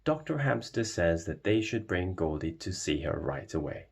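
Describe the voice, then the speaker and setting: A man reading a children's storybook aloud in an expressive way. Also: low voice, normal speed, vocal fry. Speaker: storybook reader. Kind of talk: scripted children's storybook narration.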